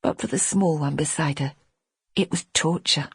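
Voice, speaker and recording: A woman reading an audiobook as narrator, with measured, expressive storytelling. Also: sits low, husky, no echo, clean studio sound. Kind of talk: scripted dramatic narration.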